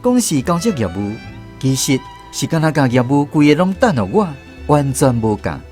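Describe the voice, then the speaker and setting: An actor playing a young soldier with a Taiwanese accent, narrating memories of army days in a radio drama. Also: male voice, mid-range voice, very animated, moderate pace, a little mellow, faint music behind, close to an everyday voice. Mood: recounting.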